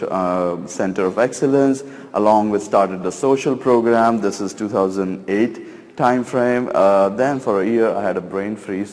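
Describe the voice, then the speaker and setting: A man's voice: fairly low pitch, a little mellow. A middle-aged man giving a casual, self-deprecating talk as a conference speaker, with many fillers. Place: conference stage in a large hall. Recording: long hall reverb.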